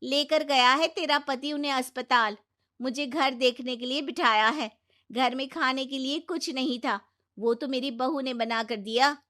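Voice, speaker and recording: A woman acts a neighbour auntie in a moral-story cartoon with a very high voice. She scolds loudly, with reproach and sarcasm in her complaints. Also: unhurried. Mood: complaining.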